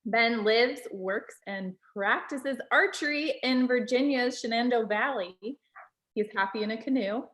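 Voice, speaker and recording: A woman's voice: a little high, a little slow, a bit bright, rough recording. A woman reading out an introduction and welcome as the host of a guest author event.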